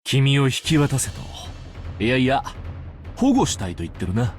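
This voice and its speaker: Fast-talking, sly businessman. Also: medium pitch, wildly swooping pitch, a little mellow, soft background music. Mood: wry, casual. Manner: casual, sly, unflappable. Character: glib, shrewd adult businessman, playing both sides. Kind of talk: Japanese visual novel voice acting.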